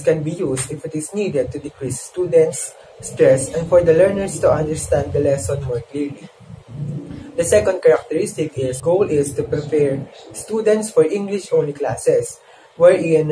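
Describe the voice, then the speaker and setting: Young Filipino man, mellow voice. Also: fairly high pitch, slight background noise, low-quality recording. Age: young adult. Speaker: student presenter. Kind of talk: scripted class presentation.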